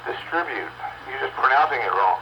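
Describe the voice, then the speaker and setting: A man chatting casually in a halting, laid-back way as an amateur radio operator, on a stock radio microphone. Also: mid-range voice, animated. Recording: noisy ham radio transmission with static.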